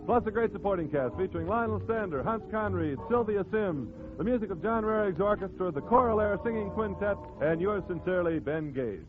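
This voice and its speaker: A man announces a 1940s radio show in a showy, polished style. His voice is high for a man, on a tinny vintage recording with music behind. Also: lively intonation, brisk.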